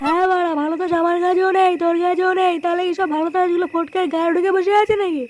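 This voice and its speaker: A man voicing an irritable, foul-mouthed, bossy card player in a comedy cartoon, with an artificially pitched-up voice. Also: level tone, very fast, faint music behind. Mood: annoyed, blustering. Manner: gruff cursing, blustering.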